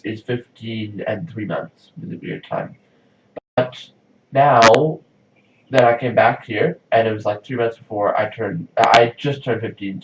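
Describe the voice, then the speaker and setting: A man's voice: medium pitch, a little hiss or hum. A young man from South Africa rambling casually in a video diary, leaning heavily on "like". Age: young adult. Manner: rambling, chatty, says "like" often. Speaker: vlogger. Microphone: camera mic from a distance. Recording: roomy, distant, dull lo-fi sound.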